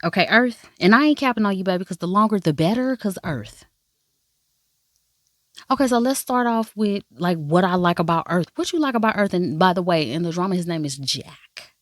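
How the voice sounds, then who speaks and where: A woman's voice: lowish voice, lively intonation, moderate pace. A woman vlogger gives her first impressions of a drama. She is chatty and emphatic, playfully swears, and calls her viewers "baby." The sound is dry and close, with no room echo. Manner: talks straight to viewers, calling them baby and y'all. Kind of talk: casual first-impressions review.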